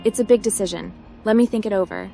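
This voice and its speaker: A woman's voice: medium pitch, fast, vocal fry, warm tone, soft background music, close to an everyday voice. A woman playing a polite, curious female cyber runner as a game protagonist. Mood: polite, thoughtful. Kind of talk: scripted game protagonist dialogue.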